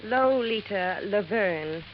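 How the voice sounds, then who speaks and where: A haughty, sharp-tongued schoolteacher in vintage radio drama. Her voice swings widely with disapproval, on a dull recording with faint noise. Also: medium pitch, expressive, takes it slowly. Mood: scornful. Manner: haughty, scornful. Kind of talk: 1950s radio drama acting.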